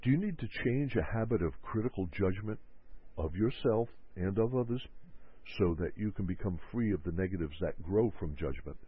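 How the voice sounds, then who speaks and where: Deep husky man on tinny radio. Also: lively intonation, moderate pace. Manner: repetitive, acts out quoted inner voices. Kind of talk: motivational self-help talk. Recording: narrow phone-like radio audio.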